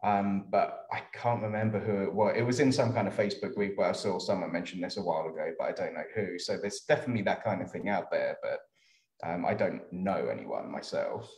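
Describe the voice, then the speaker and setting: A man's voice: sits low, fairly quick, a little mellow, roomy sound. A man with a British accent chatting casually as a panelist on a group video call from an indoor room. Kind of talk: casual chat.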